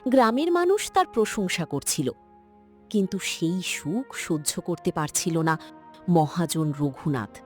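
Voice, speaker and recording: A woman narrator telling a scripted cartoon story in a dramatic, expressive way. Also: fairly low pitch, a little slow, faint music behind.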